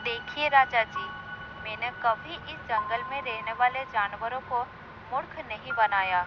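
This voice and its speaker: A woman playing a cunning, conceited female fox with a theatrical delivery in an animated moral story. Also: a little high, unhurried, loud music behind the voice, close to an everyday voice. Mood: smug. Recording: dull lo-fi sound with clear noise.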